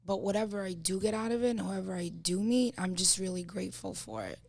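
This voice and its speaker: A woman's voice: mid-range voice, expressive, brisk, gravelly, darkish tone. A woman in her thirties with a New York accent, chatting as a podcast guest and telling stories full of fillers. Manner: chatty storytelling, lots of fillers. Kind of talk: casual podcast chat.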